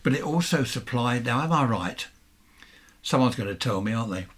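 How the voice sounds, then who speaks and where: A man in his seventies with a southern English accent and a husky voice, giving a chatty, rambling, unscripted show-and-tell as a hobbyist, often questioning himself. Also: medium pitch, expressive, moderate pace, fairly bright tone. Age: 70s. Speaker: amateur radio hobbyist presenting vintage equipment.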